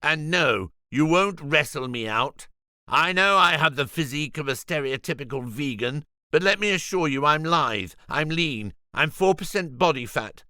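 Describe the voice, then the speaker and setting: A man playing a high, preachy vegan shopkeeper. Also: animated, normal speed, bright, forceful tone, smooth, no echo, studio quality. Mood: indignant, preachy. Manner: preachy, sarcastic, indignant. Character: defiant, self-righteous vegan shop owner, man.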